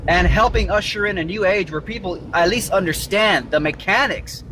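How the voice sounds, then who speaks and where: A man's voice: high-pitched, moderate pace, sharp tone, poor audio. A man delivering an emphatic, repetitive, argumentative video monologue, lecturing from inside a car. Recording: faint vehicle noise. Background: faint car noise.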